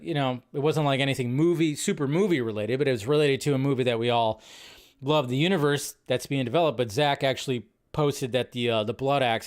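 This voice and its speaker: A man's voice: medium pitch, clear, resonant tone. A man hosting a live movie news stream, talking casually in a loose, rambling way with lots of fillers.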